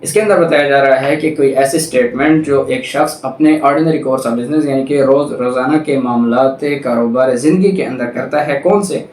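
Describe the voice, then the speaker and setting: A man's voice: mid-range voice, normal speed, few pauses, a little mellow, a little hiss or hum. Male Pakistani law lecturer, methodical explainer, heard in a very echoey room at some distance from the mic. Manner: methodical, didactic, explains with everyday examples. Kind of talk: explanatory lecture, reading statute clauses aloud then explaining.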